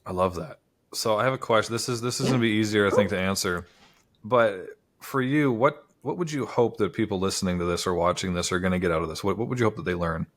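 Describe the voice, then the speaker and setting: A man's voice: on the low side, quick pace. A man hosting a podcast interview, upbeat and casual, often repeating words and restarting as he talks. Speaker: podcast host.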